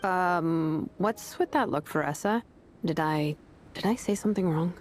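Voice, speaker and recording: A woman voicing a dry, practical young craftswoman in a video game, talking in a wry, deadpan way. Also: lowish voice, a little slow, a little hiss or hum, close to an everyday voice. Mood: sardonic.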